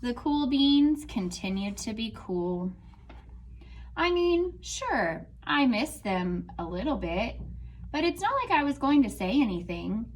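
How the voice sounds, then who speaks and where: Woman teacher, read-aloud storytime, slow and animated delivery. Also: on the high side, rough recording. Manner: slow, expressive storybook reading to children.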